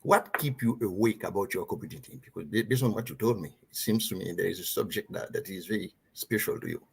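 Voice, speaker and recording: A man's voice: fairly low pitch, fast talker, vocal fry, breathy edge. A man hosting an interview who asks his questions in a formal, courteous way. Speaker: interviewer host.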